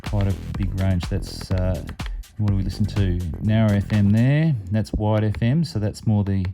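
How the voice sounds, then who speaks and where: A man with a low, very mellow voice presents a hands-on demonstration. He explains each step informally and without a script. Faint music sits behind him. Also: moderate pace. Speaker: product reviewer on a radio hobby channel.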